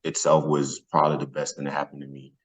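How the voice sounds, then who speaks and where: A man's voice: a bass voice, completely flat delivery, quick pace. A man from northern Nigeria, a podcast guest, telling his own story in a casual, colloquial way. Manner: colloquial, peppered with "man" and "you know". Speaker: podcast guest, former athlete.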